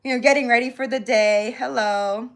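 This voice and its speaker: A woman's voice: a little high, fairly even intonation, normal speed. A woman hosting a live beauty tutorial in an informal, chatty way, speaking directly to her viewers. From born in Jamaica, grew up in Florida, US. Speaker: beauty livestream host.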